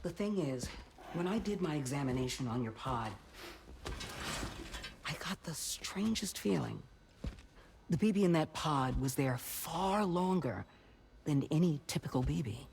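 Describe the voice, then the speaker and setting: A performer playing a calm, reassuring doctor in a video game, speaking in a measured, gentle, explanatory way. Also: mid-range voice, gravelly, slight background noise. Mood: curious, explanatory. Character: calm reassuring doctor examining a pregnant woman and a pod. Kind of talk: naturalistic game cutscene acting.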